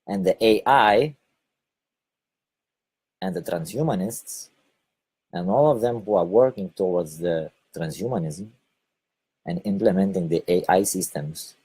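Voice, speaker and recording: A man from Lithuania with a Lithuanian accent, giving a halting spoken monologue and often repeating or correcting his words. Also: low-pitched, warm tone, slightly rough, low-quality recording. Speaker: online video host.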